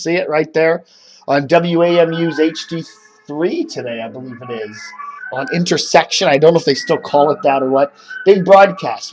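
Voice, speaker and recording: A man's voice: a little high, darkish tone, rough recording. An American man chatting casually to camera as a video blogger, meandering and thinking aloud. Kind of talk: casual rambling chat to camera. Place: indoors at home.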